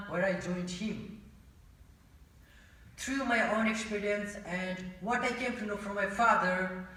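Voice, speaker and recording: A young man from Nepal with a Nepali accent gives a rehearsed contest speech in a deliberate, formal manner. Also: high voice, sharp tone. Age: young adult. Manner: deliberate, formal, rhetorical pauses and questions. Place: large indoor auditorium. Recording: echoey auditorium, distant from mic.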